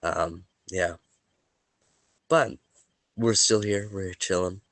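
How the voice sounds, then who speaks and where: A man's voice: deep voice, fairly even intonation, slow pace, a bit bright, no room sound. A man running a tabletop game, sniffly from allergies and a little worn in the voice, chatting loosely and then reading a recap of the story so far. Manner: laid-back, joking, stumbles and restarts. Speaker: game master. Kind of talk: casual chat and recap narration for a tabletop game.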